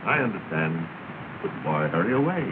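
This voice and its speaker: Kindly gentleman, lively low voice. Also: normal speed. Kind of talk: 1930s film drama acting. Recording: scratchy, tinny 1930s film soundtrack.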